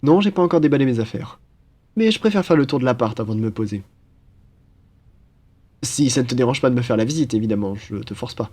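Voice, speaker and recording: A man's voice: medium pitch, lively intonation, fast talker, long pauses, darkish tone, close to an everyday voice. A young man playing an upbeat, cheeky student roommate in a French roleplay, with a playful, slangy, enthusiastic manner. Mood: casual, friendly. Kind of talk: casual French roleplay acting.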